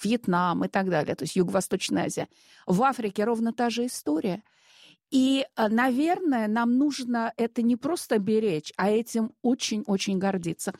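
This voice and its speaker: A woman's voice: mid-range voice, darkish tone, dry recording. A Russian woman speaking as a guest in a studio discussion, in a discursive, hesitant way full of fillers.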